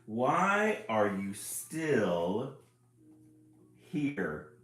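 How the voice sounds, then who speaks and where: A man voicing a cranky, fed-up talking children's book that orders readers to go away, in a gruff, bossy way. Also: on the low side, big pitch swings, very slow, fairly bright tone, echoey room, faint music behind, heavily acted. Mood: exasperated.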